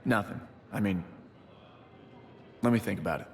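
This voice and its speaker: An actor playing a grieving, loyal military pilot in a sci-fi game, reflective, subdued and sincere. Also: male voice, lowish voice, moderate pace, big-room sound, lightly acted. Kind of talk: video game voice acting.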